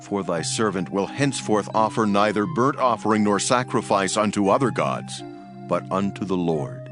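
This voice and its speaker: Male actor as ancient military commander, proud and earnest, deep declamatory delivery, prominent music underneath. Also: animated, normal speed, natural voice. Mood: humbled, earnest. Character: proud Syrian army captain, indignant then humbled and earnest. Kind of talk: dramatized scripture reading. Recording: music behind the voice.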